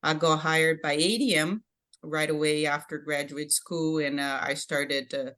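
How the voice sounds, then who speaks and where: This is a woman from Brazil with a Brazilian accent, speaking English in a low, steady voice. She is a guest in an interview, and she pauses often and adds fillers as she answers. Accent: Brazilian Portuguese. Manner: hesitant, many fillers. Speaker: guest, animal nutrition director.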